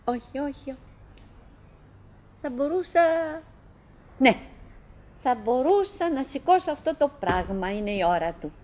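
An actress playing a chatty middle-aged wife in a theatrical radio drama monologue, halting and musing, by turns coaxing and murmuring, wistful, fretful and forcedly cheerful. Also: female voice, high-pitched, lively intonation, darkish tone. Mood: anxious, fretful. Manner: halting, musing, self-interrupting, swinging between coaxing and murmuring.

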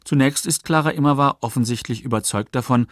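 A man narrating a scripted documentary in a factual, measured way. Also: mid-range voice, fairly bright tone, dry recording, clean studio sound. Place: dry studio.

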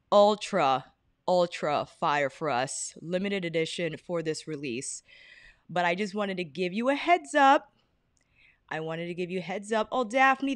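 A young woman hosting a casual beauty livestream from her apartment, bubbly and chatty, greeting viewers by name with bursts of excitement. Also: lowish voice, dry recording, clean studio sound. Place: apartment living room, fan humming. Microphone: desk podcast mic in front.

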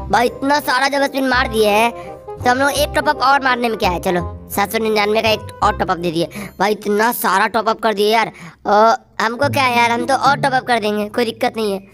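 High-pitched voice-changed woman gaming host. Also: fairly quick. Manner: chatty, repeats phrases, pleading and exclaiming. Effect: voice changer raising the pitch. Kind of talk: excited gameplay commentary in casual chat. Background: background music.

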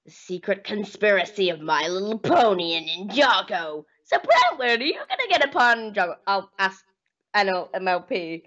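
A woman's voice: mid-range voice, big pitch swings, fairly bright tone. A young woman hosting a casual video-call interview as an eager fan, murmuring along while the guest talks. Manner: eager fan, quick back-channel murmurs. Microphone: computer webcam mic. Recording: dull lo-fi webcam audio.

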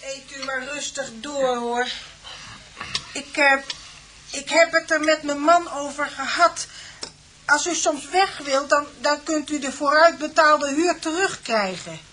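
A woman's voice: high-pitched, a little slow, bright, forceful tone, a little hiss or hum, poor audio. A woman playing an uneasy, superstitious farm landlady in a radio drama, giving hesitant, ominous warnings.

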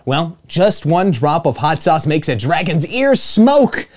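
A man in his twenties reading a picture book aloud to children as a storytime host, playful, with dramatic emphasis and pauses. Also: fairly high pitch, big pitch swings, darkish tone. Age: 20s. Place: small indoor room with home studio gear.

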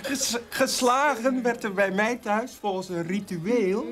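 An actor in a radio drama playing the grown child of a strict father, recollecting in a halting, ironic way. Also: mid-range voice, fairly bright tone, lightly acted. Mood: hurt, ironic. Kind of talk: animated radio drama storytelling. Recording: music behind the voice.

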